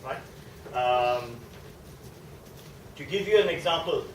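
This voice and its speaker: A man's voice: medium pitch, expressive, slow pace. A man from southern India with a South Indian accent, a native speaker of a South Indian language, giving an informal, explanatory guest lecture and often checking in with his listeners. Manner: conversational and explanatory, often checking in with a tag question. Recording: echoey room, distant mic, faint noise.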